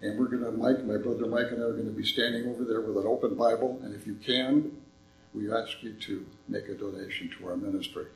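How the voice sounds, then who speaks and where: Older guy in a church, low gravelly voice kinda froggy, echoey room w faint hum. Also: steady tone, quick pace. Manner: earnest, folksy storytelling. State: slightly hoarse. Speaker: visiting ministry speaker. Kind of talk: church appeal talk. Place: church sanctuary.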